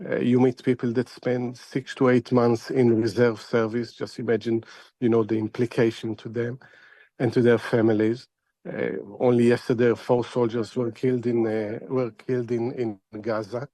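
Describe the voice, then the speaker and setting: Halting male analyst, dry close sound. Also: mid-range voice, flat delivery, mellow, dry recording. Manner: halting, repeats words as he searches for phrasing. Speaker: foreign affairs analyst, interview guest. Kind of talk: live interview commentary.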